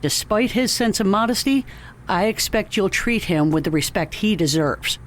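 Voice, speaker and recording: An actor playing a measured, authoritative captain in an audio drama, speaking formally and deliberately. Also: male voice, high voice, animated, a bit bright.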